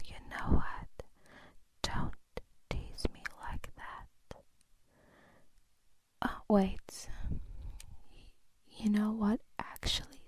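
A woman whispering in a teasing way, playing a girlfriend in an intimate audio roleplay. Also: mid-range voice.

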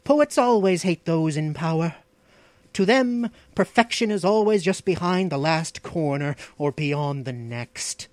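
A man's voice: a little high, expressive, measured pace, no room sound, pitched above the performer's own voice. A man voicing an ambitious, scheming outlaw in a fantasy audiobook, with an imperious, mocking, declaiming delivery. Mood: grandiose, gloating. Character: ambitious, scheming, arrogant male outlaw plotter, impatient master. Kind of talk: dramatic fantasy audiobook dialogue.

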